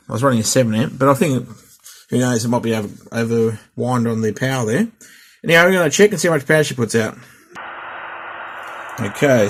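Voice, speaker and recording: A man's voice: medium pitch, breathy edge. A middle-aged man casually demonstrating and testing a radio, rambling and repeating himself as he goes. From Australia. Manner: rambling, chatty, repeats test counts. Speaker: radio hobbyist vlogger. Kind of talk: casual hands-on equipment demo. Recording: home recording with noticeable background noise.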